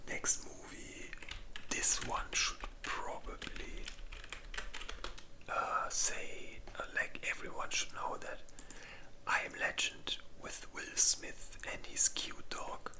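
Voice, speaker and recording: ASMR host, whispered voice, slow pace, bright airy hiss, casual halting rambling. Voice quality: breathy unvoiced whisper. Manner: informal, slow, halting delivery with pauses.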